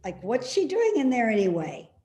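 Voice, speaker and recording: A woman's voice: on the high side, huge pitch swings, warm tone. A woman in a play reading, playing a suspicious, complaining elderly mother who nags indignantly. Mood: aggrieved. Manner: nagging, indignant, slow and insistent. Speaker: actor in a readers theater play. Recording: roomy, echoey remote reading.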